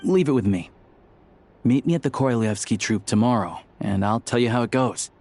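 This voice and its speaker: A man playing a calm, shrewd, thoughtful ally in a video game, speaking in a measured, pondering way. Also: medium pitch, close to an everyday voice.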